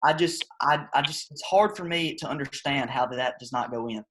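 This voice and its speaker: A man on a panel debating in a mock-serious style, with a hesitant, deadpan earnest way of objecting. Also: medium pitch, normal speed. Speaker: panel analyst.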